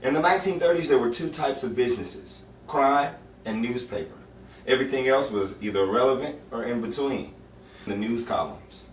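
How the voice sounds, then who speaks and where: A man playing the deliberate, dramatic narrator of a noir newspaper tale in an old-time radio drama. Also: mid-range voice, close to an everyday voice. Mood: measured, dramatic. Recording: distant roomy recording with faint noise.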